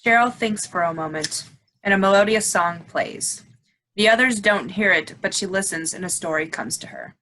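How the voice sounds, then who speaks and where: A woman's voice: mid-range voice, moderate pace, bright, forceful tone, close to an everyday voice, poor audio. A woman reading stage directions as scripted narration, with some faint noise behind her.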